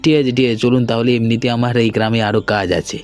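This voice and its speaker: A man's voice: medium pitch, steady tone, fast, lightly acted. A man playing a friendly young businessman in a cartoon, talking in a casual, conversational way. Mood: polite, friendly. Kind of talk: cartoon dialogue acting. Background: background music.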